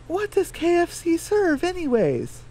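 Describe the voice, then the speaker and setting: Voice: extremely high pitch, huge pitch swings, unhurried, warm tone, pitched above the performer's own voice, full character voice. A performer voicing a scatterbrained, easily bored cartoon chicken in a radio drama. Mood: puzzled.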